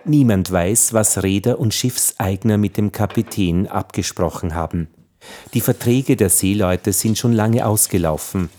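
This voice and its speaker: A grave radio play narrator voiced by a man. His voice is low and darkly mellow, and his scripted delivery is sombre. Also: a little slow.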